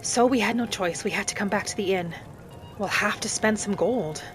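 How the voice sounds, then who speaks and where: A woman voicing a gentle, adventurous female wood elf traveller in a video game roleplay, musing softly aloud in character over music. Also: medium pitch, moderate pace, lightly acted. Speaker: video game streamer role-playing.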